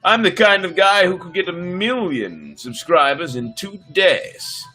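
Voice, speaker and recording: A man's voice: high voice, lively intonation, unhurried, pushed and bright, slightly rough, faint music behind. A man reading stories aloud on a livestream in a theatrical, playful manner, with chatty asides. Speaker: storyteller and livestream host. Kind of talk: dramatic fairy tale reading with chatty asides.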